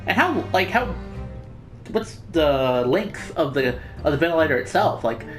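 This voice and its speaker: Female let's play host, casual and slangy, rambling delivery, animated intonation, soft music behind. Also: sits low, a little slow. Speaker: gaming video host.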